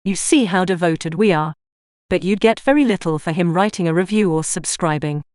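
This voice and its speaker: A woman's voice: lowish voice, normal speed, a little mellow, no echo, studio quality, natural voice. A woman playing a florid, obsequious, scheming minion in a sci-fi audio drama parody. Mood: smooth, sly. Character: fawning, scheming noblewoman minion, grandly theatrical and devious.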